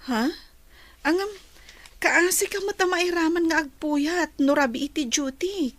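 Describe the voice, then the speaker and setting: An actress with a Filipino accent playing an earnest young hospital intern in a radio drama. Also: female voice, very high-pitched, a little slow, a bit bright. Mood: friendly.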